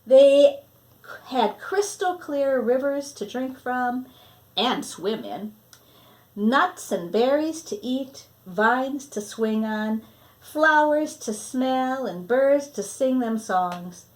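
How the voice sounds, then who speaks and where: A woman reading a children's story aloud expressively, with deliberate phrasing that sometimes drops to a hush. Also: high voice, expressive. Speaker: storybook reader.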